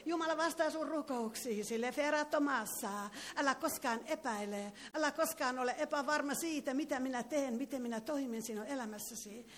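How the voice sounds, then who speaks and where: A woman leads a fervent charismatic prayer in a meeting hall. Her voice sits somewhat high, and she breaks into tongues between phrases. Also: few pauses. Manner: fervent, prays with tongues interjected. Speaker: preacher.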